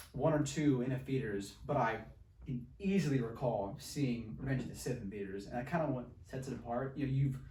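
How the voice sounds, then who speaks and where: Male, 20s, medium pitch. Laid-back, slangy movie podcast co-host in loose conversation, distant mic with plenty of room echo. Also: darkish tone. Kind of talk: casual chat. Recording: roomy echo, distant from microphone.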